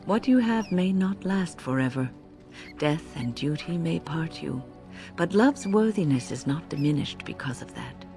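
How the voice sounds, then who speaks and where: An actress playing a wise, gentle elderly female mentor in a video game, speaking in a measured, reflective way. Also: low voice, animated, faint music behind. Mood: tender, solemn. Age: older. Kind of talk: video game character acting.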